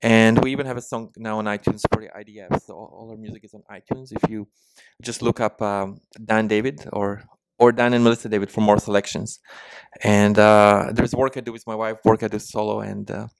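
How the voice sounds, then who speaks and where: A man's voice: lowish voice, little pitch movement, a little fast, gravelly, clear, resonant tone, dry recording. A man with a slight Ukrainian-Israeli accent answering interview questions, hesitant with many restarts and fillers. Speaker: musician guest.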